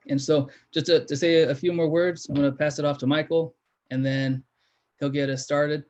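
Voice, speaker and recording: A man's voice: on the high side, little pitch movement, normal speed, darkish tone. Reflective man over a dull call. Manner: reflective, storytelling. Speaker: co-host of the reading series. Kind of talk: casual opening remarks. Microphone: video call. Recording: dull lo-fi video call audio.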